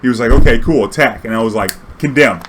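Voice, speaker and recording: A man casually telling an anecdote in a slangy, swearing, animated way, acting out bits of dialogue. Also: medium pitch, fast talker, background noise. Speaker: storyteller recounting his own tournament games.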